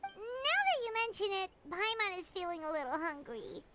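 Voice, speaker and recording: Childlike fairy mascot, squeaky high voice, lively swings, chirpy, anime game, loud background noise. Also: female voice, moderate pace. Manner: squeaky, speaks of self in third person. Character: small floating childlike female fairy companion, chirpy and excitable.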